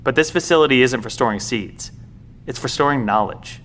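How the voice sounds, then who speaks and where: A man acts out a weary professor guarding a secret, in an audio drama. His mid-pitched delivery is measured and explanatory, with a grave, secretive air. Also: fairly bright tone, a little hiss or hum, lightly acted.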